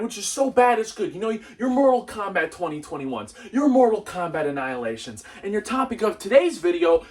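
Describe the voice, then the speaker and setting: A high-voiced man delivers a comedic film review, swinging widely in pitch as he goes. His manner is casual, sarcastic and exaggerated, and he sounds set back from the microphone. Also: distant mic. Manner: animated, exaggerated, sarcastic, swearing. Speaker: video reviewer, host.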